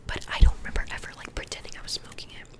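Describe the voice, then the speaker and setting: A young woman getting over a cold, softly telling rambling, self-deprecating stories from her school days in an ASMR whisper. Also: rapid-fire. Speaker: vlogger.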